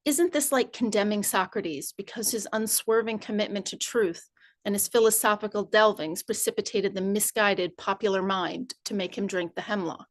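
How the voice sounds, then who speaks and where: A woman from the community reading a written text aloud in a measured, deliberate, formal recitation. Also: medium pitch, no room sound.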